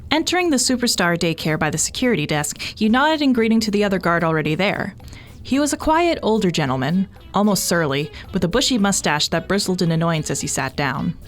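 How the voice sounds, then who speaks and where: A woman narrating fan fiction as an expressive scripted audio story. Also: on the low side, fairly quick. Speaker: podfic narrator. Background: faint music.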